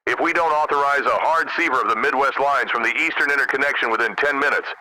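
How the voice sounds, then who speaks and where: A man's voice: medium pitch, fast talker, bright, forceful tone, dry recording, poor audio. A man playing an urgent army general in a scripted crisis drama, with insistent, formal military delivery. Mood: urgent, businesslike. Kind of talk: scripted radio drama dialogue.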